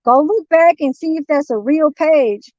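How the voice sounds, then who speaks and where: A woman's voice: sits high, measured pace, darkish tone, no echo. A woman from San Francisco ranting on a livestream, swearing constantly and talking in a rambling, confrontational way. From San Francisco, United States. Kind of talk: heated livestream rant. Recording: dull lo-fi dry stream audio.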